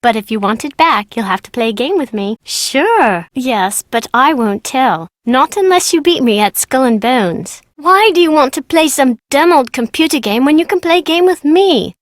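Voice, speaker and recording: A woman's voice: sits high, expressive, moderate pace, hardly any pauses, no echo, studio quality. A woman voicing a playful, mischievous British schoolgirl in a video game, chatty and childlike. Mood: playful, cheeky. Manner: childlike, informal, bargaining. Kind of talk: video game character dialogue.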